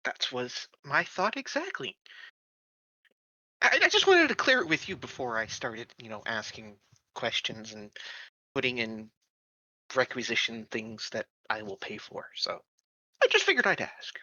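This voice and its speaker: Male RPG player, animated and bright, stammering delivery, dry slightly dull audio. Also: mid-range voice, no room sound. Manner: halting, stammering, repeats first syllables. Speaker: tabletop roleplaying game player. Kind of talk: improvised in-character tabletop roleplay.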